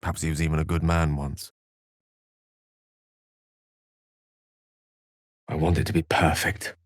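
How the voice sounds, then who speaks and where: An actor with a British accent voicing a learned, proud, romantic wizard in a video game, with eloquent, slightly pompous phrasing. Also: male voice, a bass voice, flat delivery, quick pace, no echo, studio quality. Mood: soft, tender. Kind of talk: video game character voice lines.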